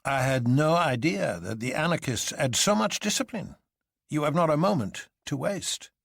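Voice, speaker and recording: A man voicing a sober, courteous elderly French colonel in a measured, formal and deliberate manner. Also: fairly high pitch, lively intonation, gravelly, fairly bright tone, no room sound, studio quality, voice pushed up, heavily acted. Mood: urgent.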